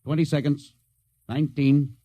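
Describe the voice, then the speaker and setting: An actor playing a stern spaceship captain in old-time radio science fiction style, clipped and authoritative. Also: male voice, fairly high pitch, level tone, moderate pace, highs cut off, lightly acted. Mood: calm authority.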